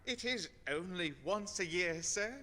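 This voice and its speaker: Meek radio-play clerk male actor: extremely high voice, hesitant, deferential, eager to please. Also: expressive, unhurried, sharp tone, heavily acted. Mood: nervous pleading. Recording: echoey hall, noisy stage recording.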